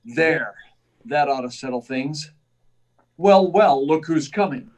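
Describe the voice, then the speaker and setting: A stalwart superhero of old-time radio, played by a man, speaking in a fairly high male voice a little way from the mic. He is self-assured and declamatory, talking himself through each feat. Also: slow pace, a little mellow, recorded from a distance. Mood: determined, then triumphant. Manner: declamatory, self-assured, narrating his own actions. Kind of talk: 1940s radio serial acting.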